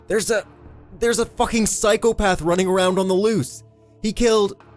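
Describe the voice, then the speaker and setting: A man's voice: medium pitch, big pitch swings, moderate pace, faint music behind, close to an everyday voice. A man voicing an injured, trapped mechanic in an audiobook, desperate, foul-mouthed and strained. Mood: alarmed, urgent. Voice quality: croaking, strained. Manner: shouting, pleading, exasperated. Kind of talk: dramatised audiobook character.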